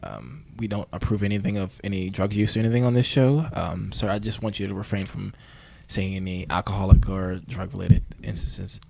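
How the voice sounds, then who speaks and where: A man playing an earnest, awkward amateur podcast host, hesitant and rambling with a deadpan delivery, in a mock-investigative interview. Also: low voice, brisk, vocal fry, hardly any pauses.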